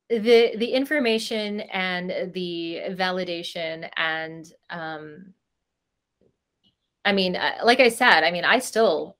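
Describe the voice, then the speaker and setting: A woman's voice: lowish voice, a bit bright. A woman speaking as a podcast guest in an effusive, rambling, casual way with giggly asides. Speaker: podcast guest, princess party company owner. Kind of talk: casual video-call interview chat.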